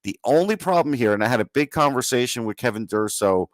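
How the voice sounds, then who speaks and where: A man's voice: mid-range voice, lively intonation, quick pace, no echo, studio quality. A man co-hosting a sports podcast, giving casual, opinionated analysis in an emphatic, conversational way. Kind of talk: casual hockey analysis chat.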